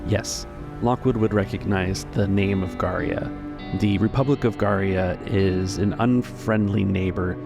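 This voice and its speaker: A man acts as game master with a low voice full of gravelly fry. He lays out the story in a measured, explanatory way. Music plays clearly underneath him. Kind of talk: narrating a tabletop roleplaying game. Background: background music.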